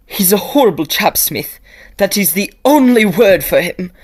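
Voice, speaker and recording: A woman playing an earnest, anxious young Victorian gentleman student in an audio drama, with formal, old-fashioned phrasing. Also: mid-range voice, animated, normal speed, husky. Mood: disgusted, troubled.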